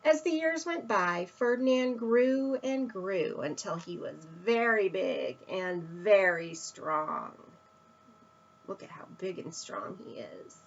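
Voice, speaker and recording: Lady doing storytime for kids, really slow and expressive, kinda muffled lo-fi sound. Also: mid-range voice. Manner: expressive, with emphatic stresses and asides to young listeners. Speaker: storyteller reading a picture book to children. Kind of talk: read-aloud children's storytelling.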